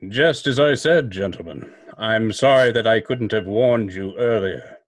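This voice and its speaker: Man as mysterious vigilante, old-time radio drama, low pitch, dry close sound, measured, commanding. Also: dry recording. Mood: ominous, commanding. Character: commanding, ominous male crime-fighting vigilante.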